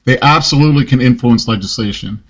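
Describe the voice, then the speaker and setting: A man on a debate panel, cutting in to explain his view forcefully. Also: mid-range voice, quick pace, muffled recording.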